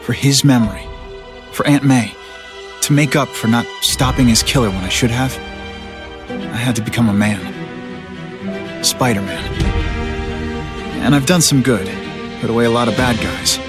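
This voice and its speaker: A man's voice: mid-range voice, normal speed, long pauses, natural voice. Young guy doing a quippy masked hero, mid voice, music playing under it. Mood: solemn. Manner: wry, quipping. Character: young male masked hero, wry and determined. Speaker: narrator and lead character.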